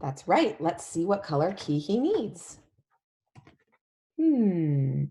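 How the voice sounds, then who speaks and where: A woman leading an interactive storybook read-along for young children, animated and emphatic, using simple repeated phrases and questions. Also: on the low side, slow. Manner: animated, emphatic, often drops to a whisper.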